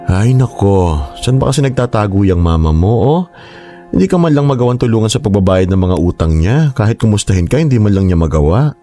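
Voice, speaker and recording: A man's voice: on the low side, animated, fairly quick, dark tone, close to an everyday voice. A man playing a concerned, exasperated middle-aged uncle in a Tagalog radio drama. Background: music.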